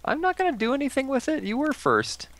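A man chatting casually while playing a game, teasing and quick to laugh, on a headset mic. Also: extremely high pitch, wildly swooping pitch, fairly bright tone. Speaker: let's play co-host. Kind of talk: casual gaming chat.

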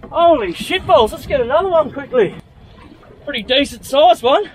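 High-voiced Australian man fishing, excitable. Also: moderate pace, background noise. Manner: excitable, swears freely, blurts out exclamations. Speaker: fishing vlogger. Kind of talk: casual running commentary while fishing. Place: small boat out on open water.

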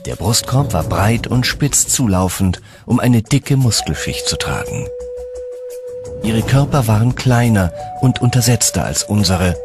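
A man's voice: on the low side, animated, a little slow, music in the background. A man reading scripted, dramatic narration for a documentary.

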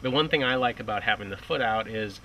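A man gives ski tips in a relaxed way on a dock, often restarting his sentences. His voice is low-pitched with a bright edge. He is picked up from afar by a camera mic, with faint noise around him. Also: quick pace. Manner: casual, with frequent restarts. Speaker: water ski coach. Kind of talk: outdoor instructional chat. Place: outdoors on a dock by a lake. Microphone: distant camera mic. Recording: distant outdoor mic with light noise.

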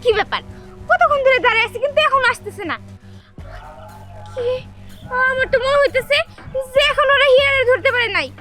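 A woman's voice: very high voice, animated, fairly quick, pauses often, music in the background. A woman voicing a spoiled, jealous and threatening chairman's daughter in a cartoon drama. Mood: impatient, scolding.

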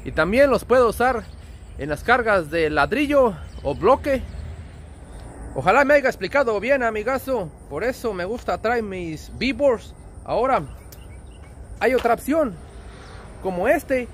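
High-pitched, animated Mexican man. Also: a little slow, pauses often. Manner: friendly, colloquial, with diminutives and slang. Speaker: trucker vlogger. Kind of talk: casual explanatory vlog. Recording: outdoor ambient and vehicle noise.

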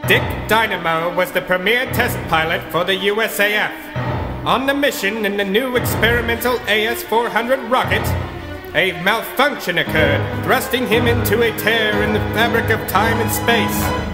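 A man's voice: sits high, normal speed, lightly acted. A man playing a grandiose announcer in an old-time radio serial style. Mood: bombastic. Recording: echoey voice under loud music.

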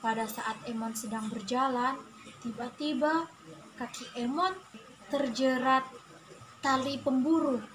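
A young woman telling a story to children, with deliberate phrasing and drawn-out words. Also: sits high, noisy background. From Indonesia. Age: young adult. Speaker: student storyteller.

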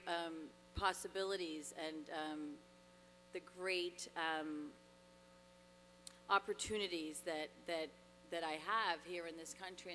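A woman speaks at a podium microphone on a hall stage, her low-set voice bright and forceful. She sounds earnest and grateful, with frequent fillers and small restarts. Long reverb from the large hall hangs on her words over a faint hum. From Dominican Republic. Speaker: award honoree. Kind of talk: acceptance speech.

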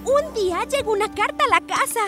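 A woman's voice: very high voice, animated, brisk. A woman voicing a bossy little girl who declaims like a stage storyteller in a dubbed cartoon. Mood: storytelling. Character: bossy little girl narrating and playing a stepmother. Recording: music behind the voice.

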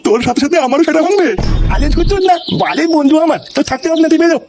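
Squeaky, cocky cartoon schoolboy. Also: male voice, racing, over music. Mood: squabbling. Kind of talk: Bengali comedy cartoon dubbing.

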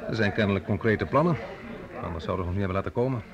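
Over a clear crowd murmur comes a breezy delegate in a vintage radio play. His voice is on the low side, and he talks in a chatty, teasing way, like someone out to cheer up a friend. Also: very fast, lo-fi sound. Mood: cheerful, chatty. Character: breezy, teasing male delegate with an eye for women. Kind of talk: conversational vintage Dutch radio drama acting. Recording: old radio play, busy room chatter.

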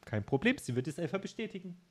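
Husky young guy gaming at home, voice bouncing up and down a lot, jokey banter. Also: high voice, big pitch swings, fast. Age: 20s. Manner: joking, teasing banter. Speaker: streamer. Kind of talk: casual gaming chat. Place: home room at night.

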